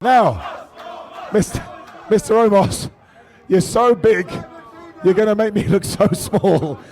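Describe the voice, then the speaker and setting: A British man hosting a live wrestling review show, jokey and loud, hyping the audience, and a bit hoarse from losing his voice. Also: sits high, slow. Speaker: host. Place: large hotel event venue. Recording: in front of a noisy live crowd.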